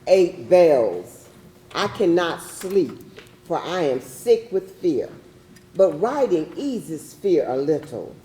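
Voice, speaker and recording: A woman's voice: on the low side, dark tone, slight background noise. A woman playing a fearful, haunted slave-ship sailor in a grave, solemn readers theatre recital, recorded in a roomy, echoing space.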